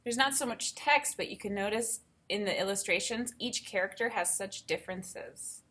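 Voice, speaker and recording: A woman teacher reading a storybook aloud and pausing to explain it simply to young learners. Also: medium pitch, fairly bright tone. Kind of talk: read-aloud lesson with think-aloud commentary.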